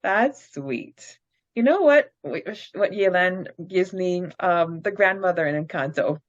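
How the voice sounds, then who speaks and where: A woman's voice: on the low side, animated, measured pace. A woman co-hosting a casual fan podcast who chats in an easygoing way and says "like" a lot. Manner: chatty, uses "like" often. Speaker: podcast co-host. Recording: dull lo-fi mic sound.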